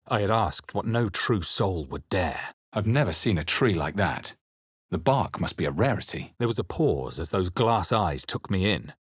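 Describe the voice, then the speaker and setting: A man playing a grave fantasy game adventurer, with solemn, measured, dramatic delivery as he narrates his journey. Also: low voice, husky, no echo, studio quality, full character voice. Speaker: video game player-character voice.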